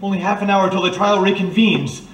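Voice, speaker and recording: A man playing an eager, inquisitive sidekick in a detective audio drama, full of questions and excitable. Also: high-pitched, a little fast, over loud music, lightly acted. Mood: curious. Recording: echoey, distant, noisy recording.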